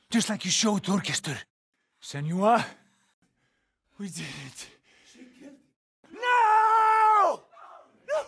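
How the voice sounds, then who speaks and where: A man with an Icelandic accent playing a grave, weary Norse villager in a video game, with a husky, breathy voice. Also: sits high, fairly bright tone, exaggerated voice. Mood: urgent, then desperate shouting. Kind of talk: dramatic video game voice acting.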